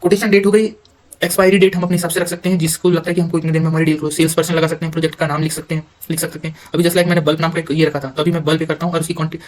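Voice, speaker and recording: An Indian man giving an informal software walkthrough, halting at times and mixing English terms into his speech. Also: a little high, flat delivery, rough recording. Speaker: software demonstrator. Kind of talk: informal screen-recorded tutorial walkthrough.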